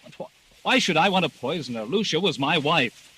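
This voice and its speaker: Agitated husband, bright tense voice. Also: male voice, fairly high pitch, animated, moderate pace, breathy edge, full character voice. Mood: defensive, agitated. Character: agitated, defensive husband suspected of killing his wife. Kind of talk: 1940s radio acting. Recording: hissy 1940s radio drama recording.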